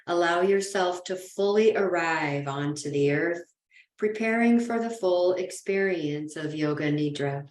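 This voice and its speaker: A woman guiding a yoga nidra relaxation in a soothing, unhurried manner, with gentle pauses between phrases. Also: fairly low pitch, echoey room, a little hiss or hum. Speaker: yoga nidra meditation guide. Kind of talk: guided relaxation meditation.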